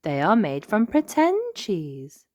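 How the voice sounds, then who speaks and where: Grown-up lady rabbit guide for a picture book, read by a woman, slow, clear announcements, matter-of-fact. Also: on the low side, very animated, darkish tone, no room sound, studio quality. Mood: informative.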